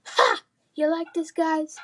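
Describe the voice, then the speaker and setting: A woman's voice: very high-pitched, fairly even intonation, takes it slowly, a bit bright, pitched above the performer's own voice, heavily acted. A woman voicing a cruel, mocking bully boy in a children's fantasy storybook. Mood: sneering, taunting. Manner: mocking, taunting.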